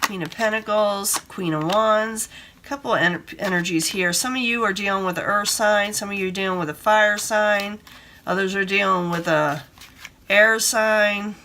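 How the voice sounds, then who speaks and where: Hoarse woman with a bright voice. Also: medium pitch, moderate pace, slight background noise. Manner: rambling, repeats herself, gives advice. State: hoarse from a cold, breathing hard. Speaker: tarot reader. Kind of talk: casual tarot reading.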